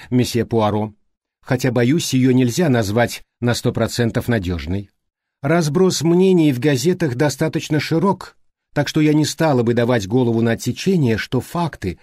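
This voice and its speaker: A man voicing a prim, brisk, unsentimental female secretary in an audiobook, precise and matter-of-fact. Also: mid-range voice, a little mellow, dry recording, clean studio sound, natural voice. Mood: precise, cautious. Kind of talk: audiobook character reading.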